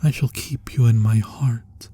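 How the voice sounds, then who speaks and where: A man voicing a tormented, tender vampire in an ASMR roleplay, speaking in a hushed, breathy voice with formal, pleading melancholy. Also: medium pitch, fairly even intonation, measured pace, slight background noise, natural voice. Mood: tender, farewell. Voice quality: breathy, hushed, often falling into whispers. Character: tormented undead vampire, former lover, sorrowful and tender. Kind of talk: ASMR roleplay, dramatic monologue.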